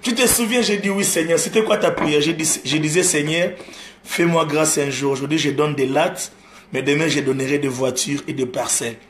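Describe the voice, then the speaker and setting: Guy preaching, lots of repeating lines, switches into lingala, fairly high voice, echoey room. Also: moderate pace, slight background noise. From Democratic Republic of the Congo. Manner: repetitive preaching cadence with laughter, mixing French and Lingala. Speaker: gospel singer preaching. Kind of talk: sermon-style testimony. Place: live-streamed church setting.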